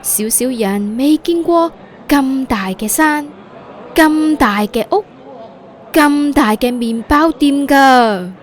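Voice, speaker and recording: A woman's voice: high-pitched, slow. A woman with a Hong Kong Cantonese accent narrating a scripted story for children as a podcast host.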